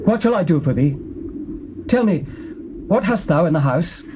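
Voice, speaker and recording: A man's voice: on the high side, lively intonation, moderate pace, background noise, close to an everyday voice. An actor playing a stern male prophet who speaks in a solemn, declaiming manner. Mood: instructive. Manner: solemn, declamatory, proclaiming oracles.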